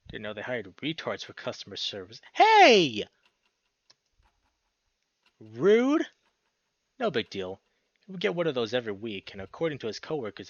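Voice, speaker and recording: A man reading a visual novel aloud as a playthrough host, expressive, with casual reactions of his own. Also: mid-range voice, dry recording, muffled recording. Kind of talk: reading game text aloud with spontaneous reactions. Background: music.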